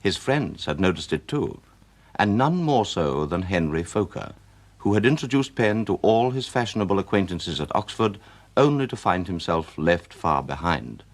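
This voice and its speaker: An actor as storyteller, a man with a British accent giving measured literary narration in a radio drama. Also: sits low, lively intonation. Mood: wry, measured. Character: male storyteller narrating a Victorian novel.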